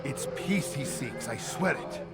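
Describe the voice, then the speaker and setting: A man's voice: mid-range voice, lively intonation, measured pace, vocal fry, loud music behind the voice. An actor playing an earnest male Templar who insists pleadingly on his cause. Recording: game score under dialogue.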